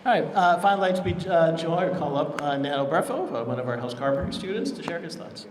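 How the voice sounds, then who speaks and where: A man's voice: a little high, animated, fast. A man emceeing a school ceremony, upbeat and loose, with fillers as he introduces speakers and thanks people. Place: large hall at a school ceremony. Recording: reverberant hall with background noise.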